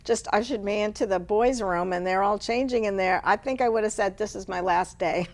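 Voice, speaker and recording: A woman hosting a poetry program, reading verse expressively and deliberately, and chatting casually between pieces. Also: mid-range voice. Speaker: host and poet.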